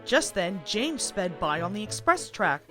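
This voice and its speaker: A woman reading a children's storybook aloud as an expressive narrator, with music underneath. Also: medium pitch, measured pace. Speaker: storybook reader.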